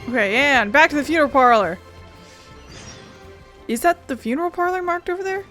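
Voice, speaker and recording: A woman's voice: high-pitched, animated, brisk, a bit bright, faint music behind. A woman hosting a gaming playthrough with informal commentary, as if remarking to herself. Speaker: gaming video host. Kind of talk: casual game playthrough commentary.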